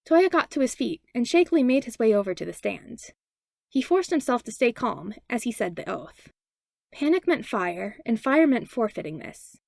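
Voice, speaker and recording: A woman narrates a story expressively, her pitch moving around a lot. There is noticeable fry in her voice, and the sound is dry and close. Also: a little mellow, no echo. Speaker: podfic narrator.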